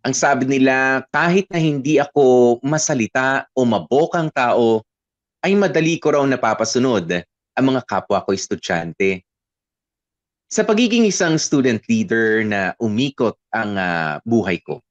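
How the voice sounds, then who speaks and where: A man playing a reflective letter-writer narrating college memories in the first person for a radio drama, in a measured storytelling manner. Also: mid-range voice, animated, lightly acted. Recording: dull, lo-fi audio.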